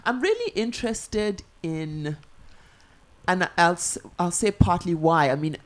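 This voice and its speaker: A woman with a notably low voice leads an onstage talk with another writer. She thinks out loud, circling her questions and reworking them as she goes. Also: expressive, unhurried. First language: English. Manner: searching and thoughtful, asks long circling questions. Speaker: writer interviewing a fellow author. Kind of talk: live festival conversation.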